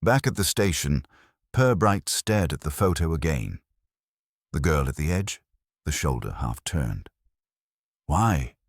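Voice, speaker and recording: A man's voice: rumbling low, lively intonation, measured pace, dry recording, clean studio sound. A man reading scripted narration of a detective mystery story.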